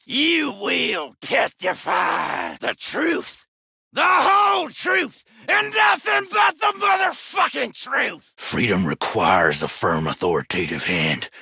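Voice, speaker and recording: Very deep, menacing Southern lawman. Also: vocal fry, bright, forceful tone, slightly rough, no room sound, clean studio sound, heavily acted. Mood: manic, raging. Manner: folksy, preachy, menacing drawl. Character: sadistic, self-righteous, foul-mouthed Southern lawman, unhinged and menacing. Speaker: voice actor in a video game. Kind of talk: villain monologue voice lines for a game.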